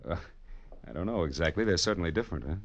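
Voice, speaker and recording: A man's voice: low voice, animated, racing, dry recording. An actor playing a dry, wisecracking hard-boiled male detective in a vintage radio drama. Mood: casually curious. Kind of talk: 1940s radio detective narration and acting. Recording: dull old radio recording.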